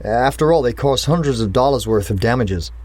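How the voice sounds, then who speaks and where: An actor playing a stern school official who speaks formally and primly. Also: male voice, mid-range voice, lively intonation, fairly quick, a little mellow, no echo, lightly acted. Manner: formal and prim. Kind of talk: audio drama acting.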